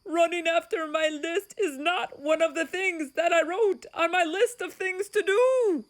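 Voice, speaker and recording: A woman's voice: very high-pitched, unhurried, pitched above the performer's own voice. A woman voicing an earnest, anxious male toad in a children's storybook, given to dramatic wailing. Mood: panicked wailing. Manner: dramatic, emphatic, prone to wailing.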